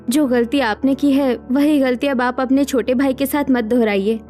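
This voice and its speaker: A woman's voice: on the high side, darkish tone, natural voice. A woman voicing a caring, hardworking young daughter-in-law in a village story, earnest and reassuring. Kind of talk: scripted story dialogue.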